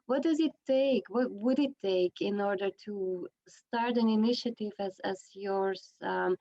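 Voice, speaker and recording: A woman's voice: medium pitch, unhurried, dark tone. The sound is dull and lo-fi. A woman from Belgium with a mid-range voice speaks up from the audience, phrasing her question conversationally and thinking it through aloud. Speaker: audience member asking a question.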